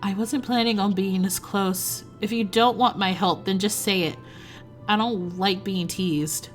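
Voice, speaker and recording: A woman's voice: mid-range voice, fairly even intonation, unhurried, soft background music, voice pushed down, close to an everyday voice. Woman doing a blunt, hot-tempered troll girl. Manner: blunt and defensive.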